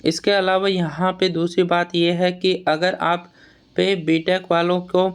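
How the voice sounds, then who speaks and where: A man with a high-pitched, clean and smooth voice that stays within a narrow, even range. He explains things informally to students as an exam news vlogger. His delivery is plain-spoken and admonishing, with words and phrases often repeated. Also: a little slow. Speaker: exam news vlogger advising job aspirants.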